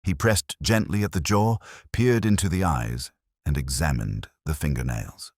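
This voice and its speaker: Very deep-voiced male audiobook narrator. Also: a little mellow, dry recording, clean studio sound. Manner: formal, measured storytelling. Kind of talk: scripted narration of a period detective story.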